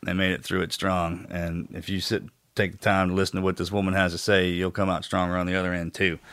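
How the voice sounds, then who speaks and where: A middle-aged man with a very deep voice speaks earnestly, pausing and restarting as he searches for words. The sound is dry and close to the mic. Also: monotone, fairly bright tone, no echo. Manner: earnest, halting, with many restarts. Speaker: podcast co-host, former Navy SEAL. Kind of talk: casual podcast introduction.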